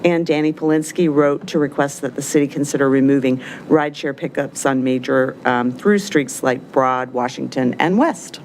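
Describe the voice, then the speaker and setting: A woman clerk reads names and summaries at a council meeting, businesslike and a little halting with fillers. Her voice is very low for a woman. Faint room noise sits behind her desk mic. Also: darkish tone. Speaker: city clerk. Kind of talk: formal meeting procedure. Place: council chamber.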